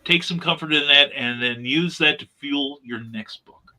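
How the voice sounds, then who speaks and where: A man talks casually as a writer guest on a panel. His voice is bright and has a forceful edge. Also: on the high side, normal speed.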